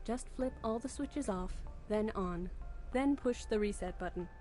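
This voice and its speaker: A woman's voice: mid-range voice, measured pace, dark tone, lightly acted. Businesslike woman, acted, music behind. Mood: matter-of-fact. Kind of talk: visual novel voice acting.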